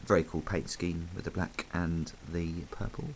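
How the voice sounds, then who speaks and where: Croaky low-voiced man reviewing a toy. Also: fairly even intonation, normal speed, background noise. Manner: chatty, rambling, full of ums. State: croaky from hay fever. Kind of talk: casual hands-on review.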